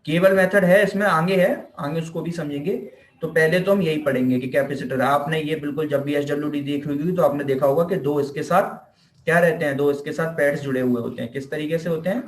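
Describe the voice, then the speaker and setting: A man's voice: fairly high pitch, fairly quick. A man with an Indian accent giving an informal explanatory lecture as a teacher, repeating points and answering his own questions. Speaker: physiotherapy teacher. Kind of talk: informal explanatory classroom lecture, Hindi mixed with English terms. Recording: echoey room.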